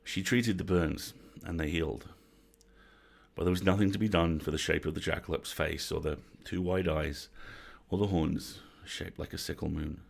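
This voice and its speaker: Very deep, creaky male storyteller. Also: steady tone, moderate pace. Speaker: storyteller, host. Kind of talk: expressive scripted story narration.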